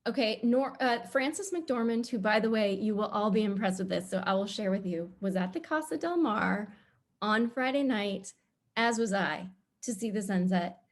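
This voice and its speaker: A middle-aged woman gives casual panel commentary at an average pitch. She is animated and blunt, leaning into comic exaggeration as she makes her points like a seasoned producer. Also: normal speed.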